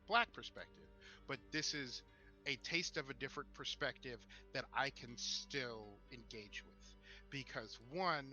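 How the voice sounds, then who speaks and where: A man in casual podcast discussion, picking his words in a halting, thoughtful way. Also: medium pitch, pushed and bright. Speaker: podcast co-host. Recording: dull lo-fi mic, music behind.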